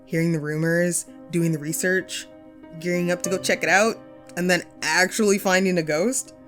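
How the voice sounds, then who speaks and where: A woman's voice: on the low side, fairly even intonation, moderate pace, faint music behind, lightly acted. A woman playing a chatty, upbeat ghost of a radio host and ghost hunter in an audio roleplay, playful and musing in manner. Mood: wistful, chatty. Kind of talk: scripted one-sided audio roleplay, conversational.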